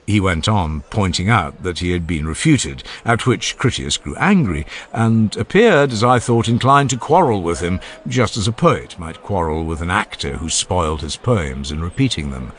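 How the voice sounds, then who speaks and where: Low-voiced, expressive male audiobook narrator. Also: moderate pace, few pauses. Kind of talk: dramatized narration of a classical philosophical dialogue. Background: added ambient sound effects.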